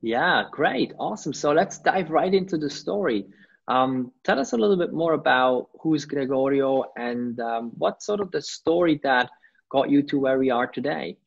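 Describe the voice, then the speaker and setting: Eager male podcast host, husky voice, muffled lo-fi sound. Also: mid-range voice, expressive, normal speed. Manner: enthusiastic, repeats himself. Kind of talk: casual interview hosting. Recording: dull lo-fi audio.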